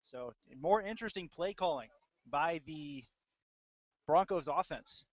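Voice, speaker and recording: Dull-sounding man calling football, higher-pitched. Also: lively intonation, a little slow, dry recording. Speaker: play-by-play announcer. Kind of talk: live American football commentary. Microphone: close mic, audio-only stream. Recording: dull lo-fi dry audio.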